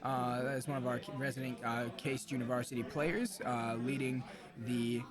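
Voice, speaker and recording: A slightly hoarse man hosting a live esports stream, commentating casually with informal, joking banter. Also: mid-range voice, fairly even intonation, normal speed, a crowd in the background.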